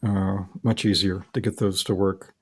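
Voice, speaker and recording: A middle-aged man with a low voice presents a hands-on hobby demonstration. He talks casually and explains things in short, measured phrases. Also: little pitch movement, husky.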